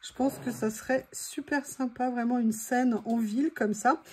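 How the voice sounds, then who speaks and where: A woman's voice: a little high, fairly even intonation, moderate pace, a little mellow. A woman chatting casually and thinking aloud as she hosts a craft video, with a voice that is starting to give out. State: voice giving out, throat clearing. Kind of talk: casual chat while crafting. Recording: faint background noise.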